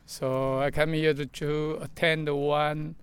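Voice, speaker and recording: Higher-pitched man with a Taiwanese accent. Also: level tone, slow pace, clear, resonant tone. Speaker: interviewee. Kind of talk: casual chat.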